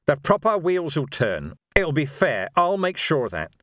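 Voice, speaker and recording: A man voicing a gruff, righteous middle-aged policeman in an audiobook, speaking in emphatic, colloquial working-class phrases. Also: on the high side, wildly swooping pitch, unhurried, fairly bright tone, dry recording, clean studio sound, voice pushed up, heavily acted. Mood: grim triumph. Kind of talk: dramatic audiobook character dialogue.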